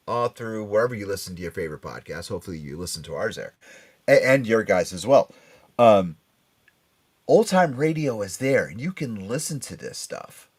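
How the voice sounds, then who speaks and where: A man hosting a casual pop culture chat show, talking in an enthusiastic, emphatic, rambling way. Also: fairly low pitch. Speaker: podcast and radio show host.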